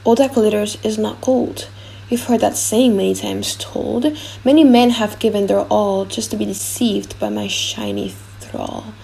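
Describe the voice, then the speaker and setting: A woman's voice: medium pitch, lively intonation, unhurried, gravelly, dark tone, poor audio. A woman reciting solemn, mocking rhymed verse as a riddle scroll in an audiobook play.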